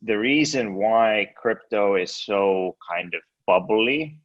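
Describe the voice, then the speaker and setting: Low-voiced man from Estonia, halting speech. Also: highs cut off. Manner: hesitant, many fillers and restarts. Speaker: guest, exchange co-founder. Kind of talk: casual interview.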